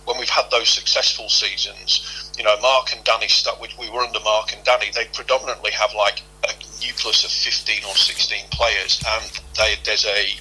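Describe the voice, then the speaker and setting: A man's voice: fairly low pitch, level tone, normal speed, pushed and bright, husky, low-quality recording. A middle-aged man answering interview questions as a football club official, explaining at length and repeating himself as he goes. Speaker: football club head of operations, interview guest.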